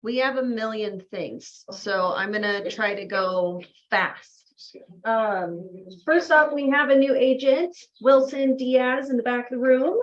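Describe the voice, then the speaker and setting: A woman hosting a casual office sales meeting, brisk and friendly, laughing often. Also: a little high, highs cut off. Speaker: meeting host.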